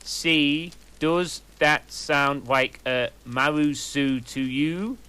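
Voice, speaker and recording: A man puts on a defensive teenage girl writer, whining and indignant in a raised, lifted voice. The delivery is bright and insistent. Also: on the high side, extremely slow, smooth, pitched above the performer's own voice, full character voice. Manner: indignant, whiny. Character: whiny defensive teenage girl fan-story author.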